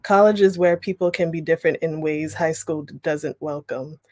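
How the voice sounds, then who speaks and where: A young woman leading an online writing workshop, reading a passage aloud and then explaining it with relaxed pauses over a video call. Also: low voice, no room sound, clean studio sound. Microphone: webcam on a video call.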